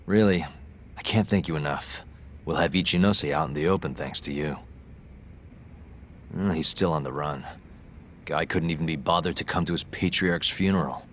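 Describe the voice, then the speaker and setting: An actor in an English game dub playing a wry, weary lawyer turned detective. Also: male voice, rumbling low, moderate pace, long pauses, fairly bright tone, slight background noise. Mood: casual, grateful. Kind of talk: dubbed video game cutscene acting.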